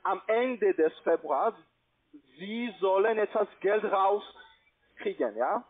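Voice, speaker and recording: A man with a foreign accent giving a pushy, repetitive phone sales pitch in halting non-native German. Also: expressive. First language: not German. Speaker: call centre investment salesman. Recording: tinny band-limited phone line.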